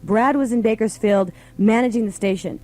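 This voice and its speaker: Mellow, chatty woman radio host. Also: on the high side, normal speed. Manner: informal, chatty. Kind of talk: casual live radio chat, reminiscing. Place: radio studio.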